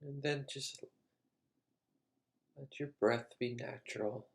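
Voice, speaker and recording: A man leading a guided meditation as a teacher, speaking calmly in short, measured phrases with long pauses. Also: mid-range voice, vocal fry.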